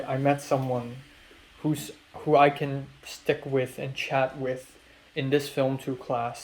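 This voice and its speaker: A young man talks to his camera late at night, his voice sitting slightly higher than most men's. He wanders from thought to thought, stalling on fillers and restarting his sentences. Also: darkish tone, poor audio. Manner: rambling and hesitant, many fillers and restarts. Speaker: college student vlogger. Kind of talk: late-night personal video diary.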